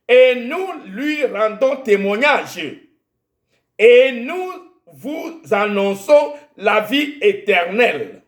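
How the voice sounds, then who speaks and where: High-voiced slow Togolese preacher. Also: male voice, reverberant. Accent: Togolese French. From Togo. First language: Ewe. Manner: slow and halting, repeats words while searching for them. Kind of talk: sermon with Bible reading.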